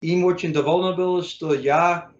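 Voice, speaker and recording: An American man, a native English speaker, speaking learner's Russian in a casual interview, halting with many fillers and self-corrections. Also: a little high, steady tone, reverberant, muffled recording. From United States. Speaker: interview guest, English teacher.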